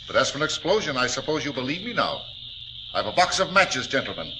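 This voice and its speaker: A man's voice: mid-range voice, sing-song, fast, close to an everyday voice. A man playing a desperate, fanatical villain in melodramatic 1940s radio style. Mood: threatening, desperate. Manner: melodramatic, brooding. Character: desperate, fanatical male villain trapped in a mine. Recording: noisy old 1940s radio recording.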